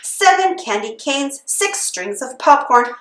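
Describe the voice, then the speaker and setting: A woman's voice: sits high, sing-song, a little slow, reverberant, poor audio. A woman reading a children's storybook aloud in the sing-song manner of a Christmas carol. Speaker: storybook reader.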